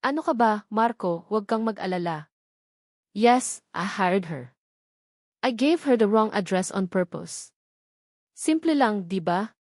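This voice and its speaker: A woman's voice: mid-range voice, lively intonation, unhurried, long pauses, darkish tone, no echo, clean studio sound. A woman with a Filipino accent voicing an arrogant, scheming young villainess in an audiobook, haughty and theatrical. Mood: smug, scornful.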